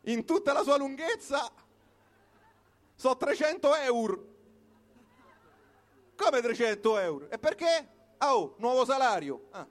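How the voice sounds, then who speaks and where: A very high-pitched male voice with a Roman accent recites a comic monologue. He delivers it like live storytelling, in short colloquial phrases with pauses between them. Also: very animated. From Rome, Italy. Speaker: comic performer reciting his own piece.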